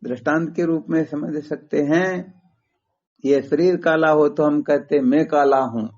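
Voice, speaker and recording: A man's voice: a little high, unhurried, darkish tone. A man giving a didactic spiritual lesson over a phone call, explaining step by step and posing rhetorical questions. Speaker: Vedanta spiritual teacher. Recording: muffled lo-fi phone call recording.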